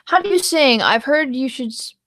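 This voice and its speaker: A woman's voice: high voice, lively intonation, normal speed, fairly bright tone, dry recording. A young woman streaming casually, rambling about singing and breaking into short song snippets. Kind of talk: casual livestream chat with singing.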